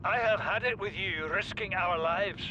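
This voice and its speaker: A man's voice: moderate pace, muffled recording, lightly acted. A man playing an overbearing, worried middle-aged father and expedition chief in a video game, speaking with indignant authority. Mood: outraged. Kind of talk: dramatic game voice acting. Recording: clear rumbling vehicle-like ambient noise.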